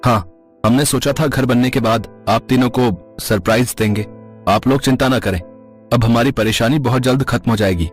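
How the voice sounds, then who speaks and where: A man's voice: mid-range voice, normal speed, faint music behind, lightly acted. A man playing a dependable husband and elder son in a cartoon family story, earnest and reassuring. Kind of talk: animated Hindi family story dialogue.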